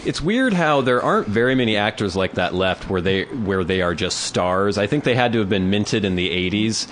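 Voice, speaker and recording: A low-pitched man talks casually as a podcast co-host, wry and rambling. He laughs often as he chats. A faint background hiss runs under his voice. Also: expressive, normal speed, fairly bright tone. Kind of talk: casual chat about movies.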